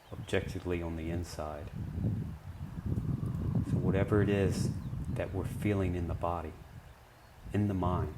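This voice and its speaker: A man leading a guided meditation, speaking gently and in measured short phrases with long pauses between them. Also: sits low, heavy background noise.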